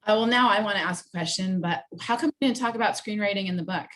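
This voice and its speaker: A woman's voice: medium pitch, fast, a bit bright, poor audio. A woman librarian hosting a virtual author event, politely reading out audience questions in a conversational way. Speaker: librarian host. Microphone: video call.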